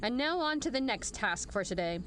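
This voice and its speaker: A woman acting a commanding female general in an audio drama, brisk, formal and dryly sarcastic. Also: on the high side, big pitch swings, a bit bright, a little hiss or hum.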